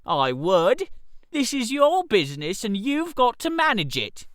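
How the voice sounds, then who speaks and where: An exasperated young English gentleman as a man reader plays him in a radio story. He grumbles in a strained, resigned way with very animated pitch, recorded dry and close. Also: unhurried, dry recording, heavily acted. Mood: strained, resentful. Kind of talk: radio theater story reading.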